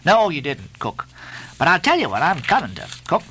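A bossy, mocking ship's officer, played by a male narrator, pitched high and swinging widely in tone. He badgers with theatrical relish, bright and forceful, firing off nautical orders and mock-solemn questions as in an audiobook sea tale. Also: wildly swooping pitch, normal speed, breathy edge, heavily acted. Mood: bossy, badgering.

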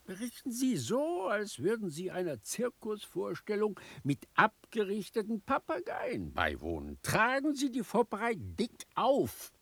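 A sly, domineering boss in a radio play. His husky voice swings widely in pitch as he talks down to his listener, close and dry. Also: huge pitch swings, a little slow, few pauses, a bit bright, dry recording, exaggerated voice. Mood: sly, condescending. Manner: condescending, insinuating. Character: scheming, domineering male political boss instructing a journalist. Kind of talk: radio drama acting.

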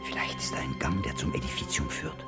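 An actor playing a watchful monk sleuth in a radio drama, murmuring secretively in a hushed, conspiratorial manner. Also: male voice, a bass voice, brisk, loud music behind the voice. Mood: secretive, alert.

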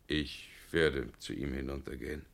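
Troubled man, 1960s radio play: bottom-register creaky voice, solemn and halting, reluctant to do the deed. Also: rumbling low, steady tone. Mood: resigned. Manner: grave, halting, solemn delivery. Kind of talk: 1960 German radio play acting.

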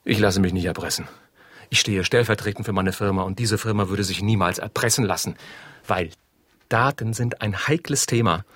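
A man's voice: fairly low pitch, normal speed, a bit bright, dry recording. An actor playing a stiff, proud human-resources manager in a radio drama, formal and accusatory in his delivery. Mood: indignant. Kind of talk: modern radio drama acting.

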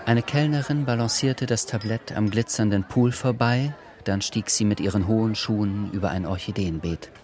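A man narrating a literary radio drama in a measured voice. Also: on the low side, darkish tone, a faint crowd, natural voice.

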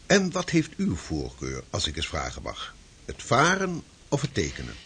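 An actor playing a shrewd 16th-century preacher who speaks in a lively, formal, old-fashioned way. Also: male voice, low-pitched, moderate pace, breathy edge, heavily acted. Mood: earnest. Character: shrewd 16th-century Dutch preacher, fierce against Spain. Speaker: preacher and cartographer. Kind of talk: radio drama acting.

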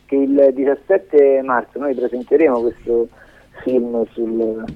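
A middle-aged man talks casually in a dull, band-limited recording. His delivery is wry and rambling, at an ordinary pitch, like a guest on a call. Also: level tone, slow pace. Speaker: guest filmmaker interviewed by phone. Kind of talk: casual chat.

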